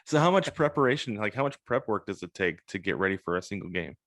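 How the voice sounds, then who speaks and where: A man's voice: lowish voice, lively intonation, fast talker, fairly bright tone, no room sound. A man hosting a podcast, asking questions in an informal, slangy, casual interview style.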